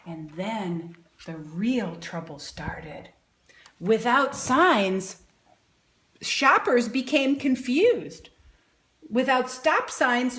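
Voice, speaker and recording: Slow, expressive woman reading a picture book. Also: on the high side, roomy sound. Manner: expressive, exclaiming and asking children questions. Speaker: storybook reader and educator.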